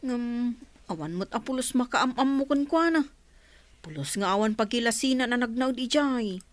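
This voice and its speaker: A man's voice: extremely high pitch, lively intonation, moderate pace. A man playing an uneasy, guilt-ridden accomplice in an Ilocano radio drama, with a Filipino accent. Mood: uneasy, guilty.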